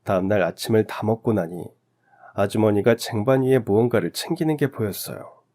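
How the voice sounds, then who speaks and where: Low-voiced male story narrator. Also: a little fast, warm tone. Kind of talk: scripted first-person radio story narration.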